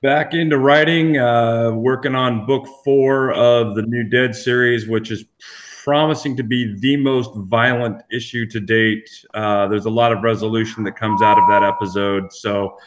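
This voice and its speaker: A man speaking as a podcast guest, chatting informally and a little haltingly about his own work. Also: medium pitch, steady tone, slight background noise.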